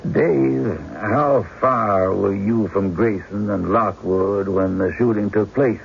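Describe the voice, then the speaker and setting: Deep, deliberate, gravelly prosecutor. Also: male voice. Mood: methodical, formal. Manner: formal, deliberate questioning. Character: stern, methodical frontier prosecuting lawyer. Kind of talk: old-time radio drama acting. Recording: muffled, faintly noisy radio broadcast.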